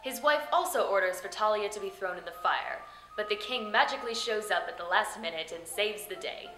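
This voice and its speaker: A woman's voice: mid-range voice, lively intonation, brisk, sharp tone. A woman hosting with scripted comic narration in a theatrical, tongue-in-cheek manner. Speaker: host and storyteller. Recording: roomy echo, faint music behind.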